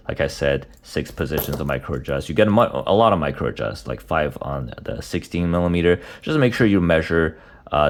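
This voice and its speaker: A man with a cold, his voice deep and raspy, casually reviewing watch parts with many hesitant fillers. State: hoarse from a cold.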